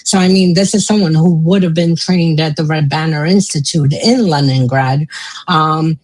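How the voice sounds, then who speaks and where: A woman's voice: sits low, moderate pace, darkish tone, low-quality recording. A woman with a faint Russian accent, a native Russian speaker, talking casually on a podcast panel with asides and restarts. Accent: slight Russian. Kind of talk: casual chat.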